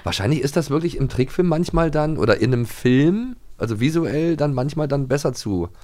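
A man co-hosting a podcast, chatting casually and haltingly, often repeating himself. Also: fairly high pitch, expressive, a little mellow. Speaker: podcast co-host. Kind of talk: casual chat, audio drama review.

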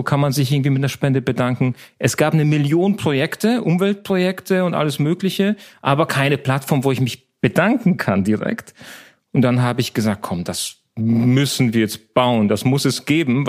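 A man with a gravelly, creaky voice at middle pitch answers interview questions. His first language is Polish, and he tells his stories in a relaxed, chatty way. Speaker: lawyer and app founder, guest.

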